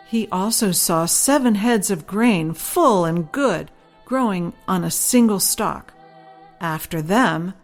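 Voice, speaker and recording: A woman reads her own children's story as scripted audiobook narration. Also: on the low side.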